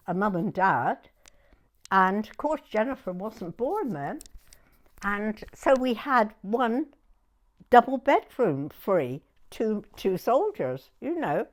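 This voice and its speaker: A woman in her nineties from Dorset with a southern English accent, reminiscing in an interview in a hesitant, halting way and repeating words. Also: medium pitch. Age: 90s. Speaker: oral history interviewee.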